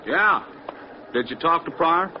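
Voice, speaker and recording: High-voiced, lively factory foreman. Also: measured pace. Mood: folksy, obliging. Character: talkative, simple male factory foreman. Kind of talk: 1940s radio drama character acting. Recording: muffled, faintly noisy old radio recording.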